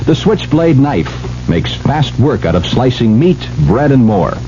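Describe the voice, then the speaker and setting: A man's voice: mid-range voice, sing-song, measured pace. A man reading a 1970s radio commercial as an announcer, with folksy sales patter. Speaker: advertising announcer. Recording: muffled old radio, faint music.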